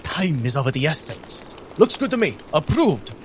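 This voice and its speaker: A man's voice: fairly high pitch, big pitch swings, moderate pace, very noisy, voice pushed up. Man playing a fussy, hesitant young nobleman. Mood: feigned confidence. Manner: hesitant, airy, effusive. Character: fussy, nervous young male nobleman inspecting lumber.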